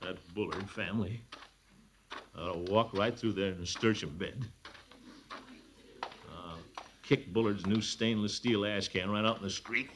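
A man's voice: unhurried, pauses often, natural voice. An actor playing a pompous, blustery middle-aged man in a 1940s radio comedy. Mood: grumbling. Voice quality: booming, blustery. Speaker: lead character. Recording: old radio broadcast with audible noise.

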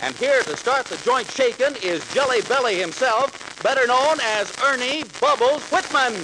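A man's voice: very high voice, moderate pace. A man announcing a 1940s radio variety show on a noisy old broadcast recording.

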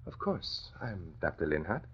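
An actor playing a polite, nervous older doctor, speaking in a halting, courteous way in old radio drama style. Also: male voice, low voice, big pitch swings, breathy edge, exaggerated voice. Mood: puzzled, polite. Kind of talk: 1940s radio acting. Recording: dull vintage radio recording.

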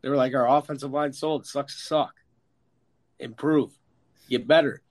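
An American man hosting a casual, sweary sports chat, opinionated and emphatic, with a stuffed-up, slightly hoarse voice. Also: medium pitch, steady tone, normal speed. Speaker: sports podcast host. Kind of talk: casual, profane football chat.

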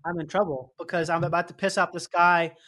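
A man, an actor, chatting in a relaxed way and musing through his questions. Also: sits high, fairly quick. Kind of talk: casual chat.

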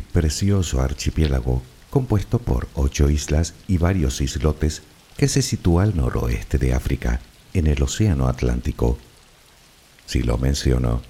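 A man from the Canary Islands with a Canarian Spanish accent, narrating a guided sleep relaxation in a soft, unhurried, soothing way. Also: a bass voice, a little hiss or hum.

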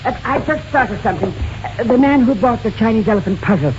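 Fussy gushy store clerk, high voice, old radio drama, bit of hiss. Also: male voice, animated, quick pace. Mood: flustered, eager. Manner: prissy, gushing, repeats his phrases. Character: fussy, effusive male antique shop clerk. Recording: dull, noisy vintage radio recording.

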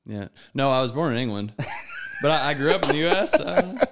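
A man's voice: medium pitch, lively intonation, quick pace, background noise. A man who is a guest on a casual podcast, speaking candidly and earnestly about his own life. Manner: candid and earnest, talks openly about himself.

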